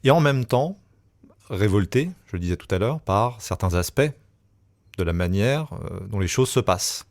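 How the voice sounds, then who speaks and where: A man hosts a podcast interview with a fairly low voice. He asks his questions in a wry, teasing way. The sound is dry and close, without any room echo. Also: animated, normal speed. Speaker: podcast host.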